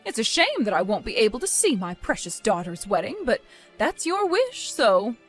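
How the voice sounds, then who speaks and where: A woman's voice: on the high side, big pitch swings, moderate pace, faint music behind. A woman voicing a stern, disappointed mother nearing retirement age in a dramatized story, scolding with weary firmness. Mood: sarcastic, hurt.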